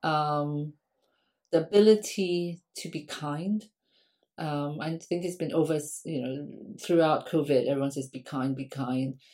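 Low-voiced woman from Singapore. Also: measured pace, darkish tone. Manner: frequent ums, thoughtful and conversational. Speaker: guest academic. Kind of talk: podcast interview answers.

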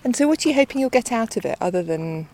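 A woman's voice: a little high, expressive, fast, darkish tone, slight background noise, low-quality recording. A woman hosting a casual podcast interview outdoors, chatting with curiosity to people she has just met. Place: outdoors on a coastal path.

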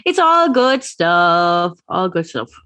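A woman's voice: on the low side, very animated, slow, sharp tone. An Irish woman co-hosting a podcast, chatting casually in an enthusiastic, emphatic way. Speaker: podcast co-host. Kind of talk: casual chat.

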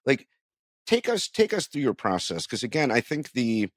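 A man's voice: medium pitch, very animated, normal speed, no room sound, clean studio sound. A man hosting an interview podcast in a conversational way, thinking aloud and rephrasing his questions as he goes.

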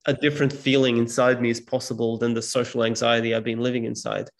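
A man's voice: mid-range voice, flat delivery, quick pace, gravelly. A man whose first language is Serbian, speaking as a podcast guest in a reflective, hesitant way with many fillers. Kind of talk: casual conversation.

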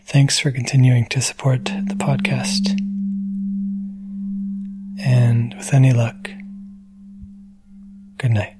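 A man's voice: mid-range voice, little pitch movement, slow, long pauses. A man giving a casual spoken introduction to a podcast episode as its producer. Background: soft gentle music.

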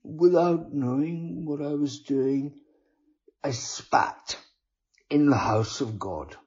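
A man voicing a sly, falsely pious male sinner making a confession in a storybook reading, with a husky, breathy voice. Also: on the high side, takes it slowly, darkish tone, voice pushed up. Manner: wheedling, mock-devout. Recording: dull lo-fi recording.

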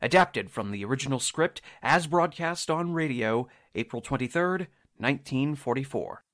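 A man's voice: mid-range voice, animated, normal speed, fairly bright tone. A man announcing a radio drama in a formal, dramatic 1940s style, reading from a script.

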